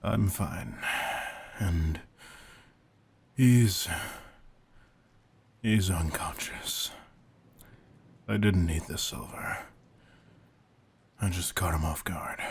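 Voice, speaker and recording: A man playing a veteran vampire hunter in a slow-burn audio roleplay, intense and commanding, with deliberate, often breathy delivery and a gravelly voice. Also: sits low, slight background noise, exaggerated voice. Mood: soothing, intimate.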